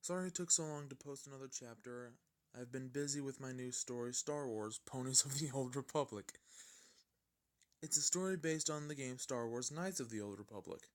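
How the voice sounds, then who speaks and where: A man's voice: medium pitch, normal speed. A man reading fan fiction aloud as an amateur narrator, stumbling now and then and rereading his words.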